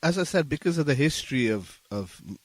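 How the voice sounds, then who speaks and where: A man speaking as a musician guest in a casual interview, explaining his thoughts in a halting, thoughtful way. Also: fairly high pitch, expressive, no echo.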